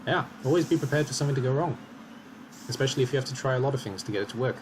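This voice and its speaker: Man calmly commentating his own game. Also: medium pitch, racing, background noise. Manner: calm, analytical, talks through his moves. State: slightly hoarse.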